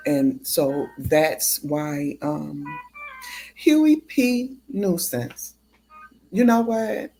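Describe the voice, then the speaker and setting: A livestream host talking casually and haltingly, with drawn-out pauses between phrases. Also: mid-range voice, dark tone, soft background music.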